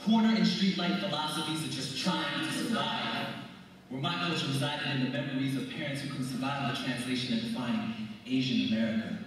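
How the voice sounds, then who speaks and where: A man's voice: medium pitch, fairly quick, fairly bright tone. A man performing spoken word poetry with a rhythmic, declamatory delivery, recorded in a hall. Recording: echoey hall, distant mic, faint music.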